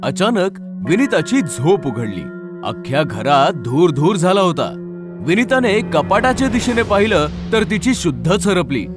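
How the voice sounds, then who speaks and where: A male storyteller delivers a scripted horror tale dramatically. His voice sits high and moves widely in pitch, with music clearly playing beneath. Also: very animated, a little slow. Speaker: narrator. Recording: clear music under narration.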